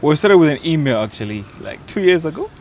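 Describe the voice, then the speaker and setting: A young man, an actor and content creator, chatting playfully in an interview, unhurried and hesitant with fillers. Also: a little high, sing-song, slight background noise. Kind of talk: casual interview chat.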